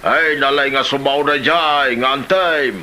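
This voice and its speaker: A man's voice: medium pitch, a little slow, bright, forceful tone, a little hiss or hum. A man acting a stubborn, grumbling older father in an Ilocano comedy drama, plain-spoken.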